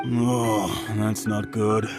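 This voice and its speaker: A fretful innkeeper, music behind. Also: male voice, lowish voice, flat delivery, drawn-out words. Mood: worried. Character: worried, courteous male innkeeper. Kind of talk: video game character voice acting.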